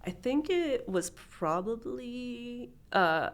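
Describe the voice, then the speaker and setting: Chatty woman with a gravelly edge. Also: a little high, expressive, slow pace. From born in Peru, raised in Miami. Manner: chatty, with many fillers and restarts. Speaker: documentary photographer, interview guest. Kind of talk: casual interview conversation.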